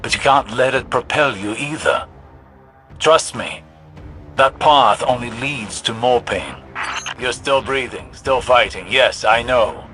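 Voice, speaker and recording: An actor playing a concerned, weary mentor in a video game, earnest and admonishing. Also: male voice, medium pitch, takes it slowly, sharp tone, soft background music. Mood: urgent concern. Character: concerned, weary mentor, cautioning male ally.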